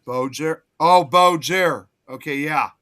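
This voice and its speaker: Brief: easygoing male co-host, bright, forceful voice, rambling chat. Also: on the high side, slow. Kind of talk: casual chat.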